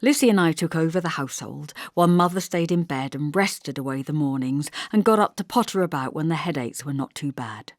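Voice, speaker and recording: Low-pitched woman narrating an audiobook. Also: animated, a little fast, few pauses, no room sound, studio quality. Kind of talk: scripted literary narration, first-person storytelling.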